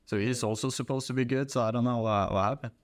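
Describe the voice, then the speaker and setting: A man's voice: medium pitch, steady tone, fast, no room sound. A young man from Norway, chatting casually and informally as an interview guest. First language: Norwegian. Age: young adult. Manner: informal, slangy. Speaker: podcast guest, college soccer player.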